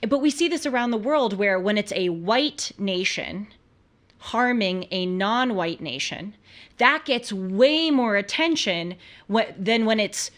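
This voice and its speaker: Female commentary host, American, earnest and emphatic, conversational delivery with rhetorical questions and a medium-pitched voice. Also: fairly bright tone. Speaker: host of a political commentary show.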